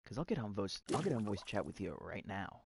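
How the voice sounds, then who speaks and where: A young man talking over his gameplay, sarcastic and quick to swear, his voice leaping around in pitch and jumping into high yelps when startled. The recording carries a clear layer of noise underneath. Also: sing-song. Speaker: gamer. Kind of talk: gameplay commentary.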